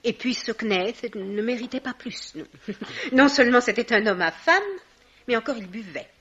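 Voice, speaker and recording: An actress playing a haughty, penniless baroness in a radio mystery, mannered, formally polite and disdainful. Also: female voice, fairly high pitch, moderate pace, fairly bright tone, lo-fi sound, lightly acted. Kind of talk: French radio mystery play acting.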